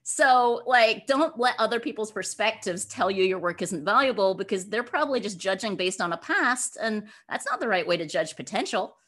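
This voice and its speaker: A woman gives conversational answers as an interview guest. Her voice sits at an average pitch for a woman. She speaks informally and with emphasis, in an animated, storytelling way. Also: normal speed, few pauses. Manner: emphatic, informal, acts out imagined dialogue. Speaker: guest author and podcaster.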